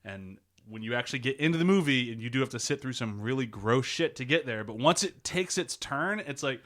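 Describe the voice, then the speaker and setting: Bright-voiced man hosting a podcast. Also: mid-range voice, lively intonation, brisk. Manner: rambling, laughs often. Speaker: podcast host. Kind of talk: casual chat.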